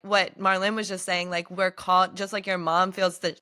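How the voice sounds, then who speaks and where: A woman's voice: fairly low pitch, little pitch movement, normal speed, sharp tone. A woman co-hosting a podcast, speaking in an earnest and casual way with lots of fillers. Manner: earnest, uses many likes. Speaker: podcast co-host.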